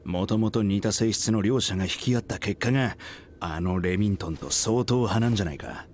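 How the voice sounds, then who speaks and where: An actor playing a brash, outspoken starship captain who talks bluntly and casually in a game cutscene. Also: male voice, on the low side, fairly quick, a little hiss or hum, lightly acted. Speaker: ship captain.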